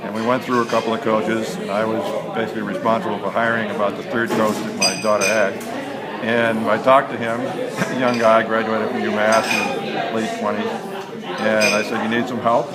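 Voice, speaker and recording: Older man reminiscing over loud crowd noise. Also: on the low side, flat delivery, normal speed. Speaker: swim coach. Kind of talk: interview answers with long anecdotes. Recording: loud crowd din in large indoor space.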